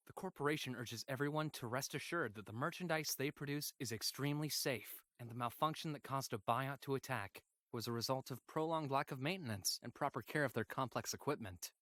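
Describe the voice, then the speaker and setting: A man voicing a polite, weary android companion who narrates a sci-fi visual novel diary in a measured, formal, hesitant way. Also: medium pitch, a bit bright, no echo, clean studio sound, lightly acted. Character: weary obedient male android companion, polite, quietly troubled.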